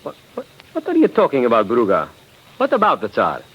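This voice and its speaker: Animated, scornful Tsarist official. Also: male voice, medium pitch, brisk, breathy edge, full character voice. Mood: baffled, scornful. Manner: talkative, exclamatory, condescending. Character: chatty, scornful male Tsarist government official out hunting. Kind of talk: 1940s radio drama acting. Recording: dull, noisy old 1940s radio recording.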